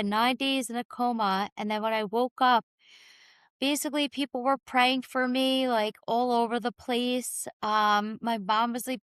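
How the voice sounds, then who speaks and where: Raspy lady on a podcast just talking through her life story, kinda high voice, very dry sound, says like a lot. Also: fairly bright tone, dry recording. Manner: informal, peppered with "like" and "you know". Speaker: podcast guest telling her own story. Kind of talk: candid personal storytelling.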